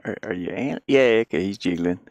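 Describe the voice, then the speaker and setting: A man thinking out loud in casual livestream talk, muttering to himself as he works on a game. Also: deep voice, brisk, dry recording. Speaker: indie game developer streaming.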